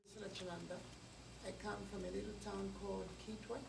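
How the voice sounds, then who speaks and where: A woman's voice: mid-range voice, steady tone, a little fast, vocal fry. A woman from Zambia, a political blogger introducing herself proudly on a panel. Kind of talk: talk show panel introduction. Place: studio. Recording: roomy, distant mic, noisy.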